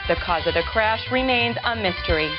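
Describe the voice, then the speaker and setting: A woman playing a deadpan local TV news anchor in a scripted parody game cutscene, reading in a polished newsreader manner. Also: medium pitch, normal speed, lightly acted. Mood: deadpan newsreading. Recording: dull lo-fi game audio, music behind.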